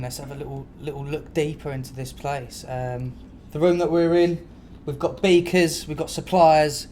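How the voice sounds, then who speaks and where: A man's voice: a little high, a little mellow, slight background noise. A man with a British accent hosting a casual, improvised podcast, chatting in slangy, sweary language. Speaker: podcast host.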